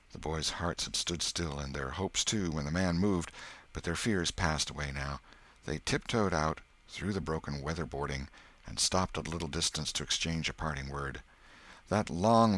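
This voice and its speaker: A man's voice: low voice, fairly bright tone. A man with an American accent narrating a classic novel as an audiobook storyteller.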